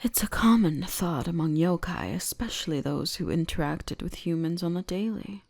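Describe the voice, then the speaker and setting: A woman voicing a commanding, centuries-old kitsune shrine guardian in an ASMR roleplay, speaking slowly and deliberately with a teasing gentleness. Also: on the low side, creaky. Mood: coaxing, dominant. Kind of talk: scripted ASMR roleplay addressed to the listener.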